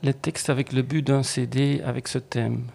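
A male interview host with a gravelly, creaky voice at a middling pitch. He asks polite, formal questions and answers his guest with short murmurs of agreement. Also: fairly even intonation, moderate pace, a little mellow. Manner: courteous, formal questions, brief acknowledgements.

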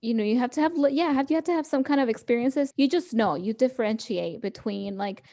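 A woman's voice: a little high, fast talker, mellow. A young woman co-hosting a podcast, chatting casually and laughing often as she quotes people. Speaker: podcast co-host. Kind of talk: casual chat.

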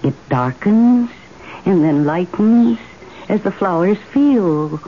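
Dreamy, stubborn wife, old radio drama. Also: female voice, mid-range voice, expressive, takes it slowly. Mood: dreamy, serene. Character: dreamy, serenely stubborn suburban wife entranced by her garden. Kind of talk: 1970s radio drama acting. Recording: dull, noisy old radio recording.

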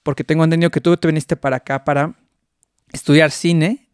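A Mexican man hosts a relaxed podcast interview, chatty and quick to laugh. His voice sits a little high. The sound is close and dry, with no room around it. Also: clean tone, no room sound. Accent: Mexican Spanish. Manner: chatty, laughs often, many restarts. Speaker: podcast host. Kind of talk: casual interview chat.

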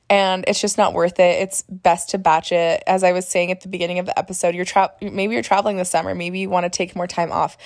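Young woman solo podcaster, a bit hoarse, lower register, casual and upbeat, dry booth sound. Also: no echo. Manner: chatty and upbeat, with fillers and self-corrections. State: hoarse, recovering from laryngitis. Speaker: podcast host, marketer.

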